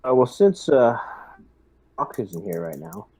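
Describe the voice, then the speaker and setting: A man playing in a tabletop roleplaying game, chatting casually with halting, searching phrasing. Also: a little high, lively intonation, creaky, darkish tone, husky. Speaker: player acting as squad lieutenant.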